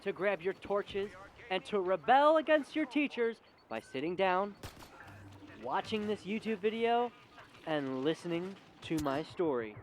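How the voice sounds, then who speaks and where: A man's voice: high-pitched, sing-song, measured pace. A young man telling a personal story as a casual, emphatic, exasperated rant. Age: young adult. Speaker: video storyteller.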